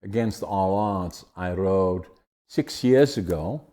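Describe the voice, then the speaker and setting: Older Dutch-accented male guest, mellow and low, animated storyteller. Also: takes it slowly. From Netherlands. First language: Dutch dialect. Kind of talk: conversational interview.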